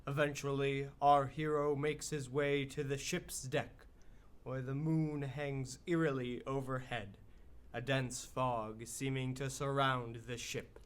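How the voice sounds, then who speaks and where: An actor as the dramatic, ominous storyteller of a hero's adventure, in scripted radio drama narration. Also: male voice, medium pitch, little pitch movement, takes it slowly, clean tone, lightly acted. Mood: suspenseful.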